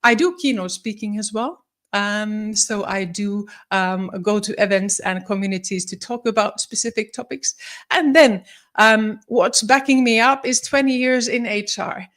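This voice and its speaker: A woman's voice: medium pitch, unhurried, a bit bright. A woman from Finland in her forties, a psychologist and leadership coach, giving a live-streamed talk with many ums and restarts. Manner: friendly, many ums, restarts, slightly formal phrasing.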